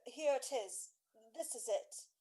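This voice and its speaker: A woman's voice: high voice, animated, slow, warm tone, full character voice. A woman playing a spirited, earnest young lover disguised as a page boy in a Shakespeare radio drama, with theatrical verse delivery. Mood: meek, flustered.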